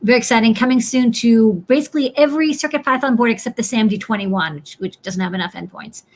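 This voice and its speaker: A woman's voice: fairly high pitch, fast talker. A woman hosting a casual chat about electronics, with an encouraging, enthusiastic manner. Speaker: show host.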